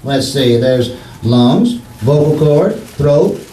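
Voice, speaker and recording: A man's voice: medium pitch, lively intonation, slow pace, warm tone. Blustery folksy husband played by a man, slangy and mock-pompous, old-time radio comedy, distant mic in a roomy hall. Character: blustery, cocky, folksy husband, bad at grammar. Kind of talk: 1940s radio comedy acting. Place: theater stage before an audience. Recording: echoey live stage, distant mic, noise.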